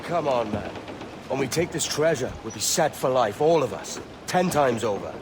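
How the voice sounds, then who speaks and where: An actor playing a brash, greedy, hot-blooded young pirate captain in a video game, blunt and impatient. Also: male voice, medium pitch, expressive, normal speed, noisy background, natural voice. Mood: eager. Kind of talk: video game cutscene acting.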